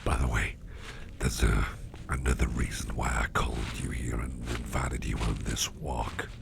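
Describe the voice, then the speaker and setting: Man voicing an extremely deep, gravelly whispering boss. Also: little pitch movement, background noise, full character voice. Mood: confiding, covert. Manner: slow, halting, repeating phrases, softly chuckling. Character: mischievous, toothy businessman boss, affectionate and covert. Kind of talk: intimate ASMR roleplay, murmured to the listener.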